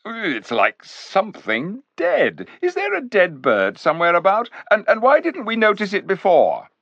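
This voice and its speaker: A man voicing a frightened young boy who talks in a high, breathless, alarmed way. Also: wildly swooping pitch, a little slow, gravelly, a bit bright, dry recording, heavily acted. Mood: disgusted. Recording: dull, dry recording.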